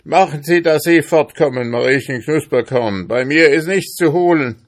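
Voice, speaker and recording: Raised pitch, loud, stingy fat hamster farmer, acted by a man, indignant, grumbling, blustering, storybook animal character. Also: moderate pace, natural voice.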